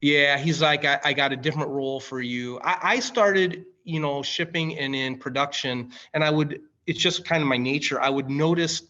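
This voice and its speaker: A man's voice: fairly high pitch, normal speed, few pauses, pushed and bright, dull audio. A man in his late forties with an American accent, telling stories casually and colloquially as a podcast guest. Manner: colloquial, familiar, calls listener man and brother. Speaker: podcast guest, firefighter and coffee company director. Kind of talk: casual conversational storytelling.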